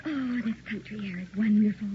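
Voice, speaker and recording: A woman's voice: medium pitch, fairly quick, close to an everyday voice. A woman playing a devoted, anxious, emotional wife in an early 1960s radio drama. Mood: cheerful, doting. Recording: muffled, hissy old radio recording.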